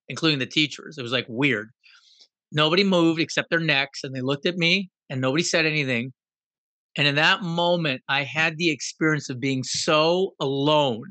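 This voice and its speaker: A man in his fifties from Guyana, telling a personal story in an animated, reflective, self-deprecating way. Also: fairly high pitch, dry recording. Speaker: guest.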